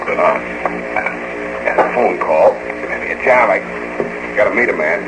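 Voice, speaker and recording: A man's voice: low voice, a little slow. An American man playing a wry, laconic private detective who narrates his own case in 1940s radio drama style. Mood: casual, wry. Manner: dry, laconic, wisecracking. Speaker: lead actor and narrator. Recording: old 1940s radio recording, loud noise, music.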